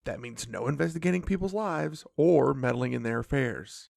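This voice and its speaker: An actor playing a cautious, nervous magical companion in an audio drama, creaky and admonishing. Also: medium pitch, normal speed, darkish tone, dry recording, studio quality. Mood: stern, wary. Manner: wary, admonishing.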